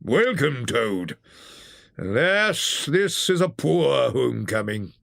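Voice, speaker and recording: A reader voicing a steady, authoritative older male badger in a storybook. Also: mid-range voice, gravelly, dry recording, studio quality, heavily acted. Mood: solemn. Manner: measured, authoritative.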